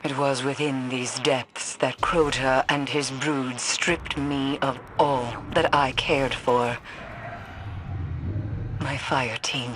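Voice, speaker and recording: Slow, haunted mystic survivor. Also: female voice, rumbling low, steady tone, background noise. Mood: grieving, bitter. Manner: brooding, portentous, deliberate. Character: haunted, grim woman mystic, survivor of lost comrades. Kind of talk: dramatic video game acting.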